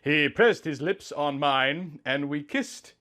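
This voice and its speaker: Male narrator, higher-voiced, lingering over each phrase of a romance tale with theatrical drama. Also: slow pace, bright, forceful tone. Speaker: narrator reading a fan story. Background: background music.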